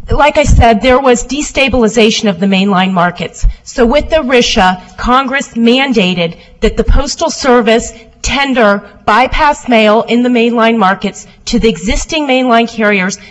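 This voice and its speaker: A woman lawyer giving a formal oral argument before appeals judges, loud and steady as she answers questions. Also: fairly high pitch, measured pace, low-quality recording. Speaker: appellate lawyer.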